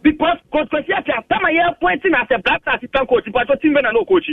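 A Ghanaian caller argues his case on a radio phone-in, repeating himself and stumbling through the point. His voice is very high and lively, squeezed through a dry, tinny phone line from some distance. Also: no room sound. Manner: argumentative, halting, repeats himself, mixes Twi and English. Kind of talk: heated opinion on a radio call-in.

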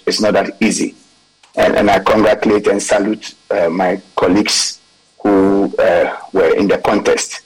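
A man, a politician speaking in a measured, hesitant way with fillers. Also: lowish voice, husky, rough recording. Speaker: politician guest.

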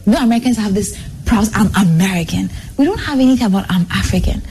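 A young woman guest sharing her own story on a talk show, earnest and animated, her phrases tumbling out with a lot of "like". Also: medium pitch, fast talker, slight background noise.

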